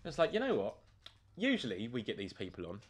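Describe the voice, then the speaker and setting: A man's voice: mid-range voice, huge pitch swings, a little fast, slightly rough. A man with a British accent in casual comedic chat as a podcast co-host, blunt, sweary and often incredulous.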